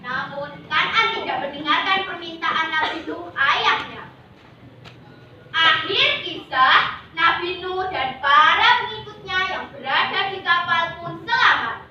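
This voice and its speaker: Very high-pitched girl storyteller in echoing hall. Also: expressive, measured pace, bright, forceful tone. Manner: theatrical, declamatory. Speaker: storytelling contestant. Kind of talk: dramatic memorized religious storytelling. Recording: echoey noisy hall, muffled, far off.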